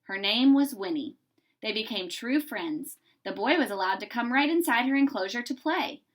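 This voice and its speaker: A woman reading a children's story aloud in an expressive, deliberate narrating style. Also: a little high. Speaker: storybook reader.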